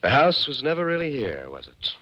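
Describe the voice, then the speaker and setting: An actor playing an earnest, bewildered man who narrates an eerie thriller in intense, deliberate 1940s radio style. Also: mid-range voice, wildly swooping pitch, bright, forceful tone, no echo, muffled recording. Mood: stunned, probing. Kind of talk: 1940s radio acting, dramatic first-person narration.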